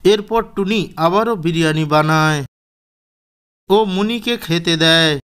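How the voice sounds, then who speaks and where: A man's voice: fairly high pitch, measured pace, a bit bright, clean tone. A man narrating a scripted children's cartoon story as its storyteller.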